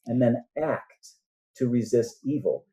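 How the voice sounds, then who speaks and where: A man speaking as a guest author in an interview, in an earnest, halting manner with deliberate pauses. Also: medium pitch, warm tone. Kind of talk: conversational interview answers. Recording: muffled, dull band-limited sound.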